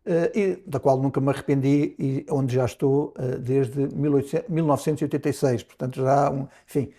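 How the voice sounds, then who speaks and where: A man in his sixties from Lisbon with a European Portuguese accent, answering interview questions about his diplomatic career in a measured way, often pausing and restarting. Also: mid-range voice, steady tone, warm tone. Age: 60s. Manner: measured, with frequent hesitations and restarts. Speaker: ambassador, interview guest.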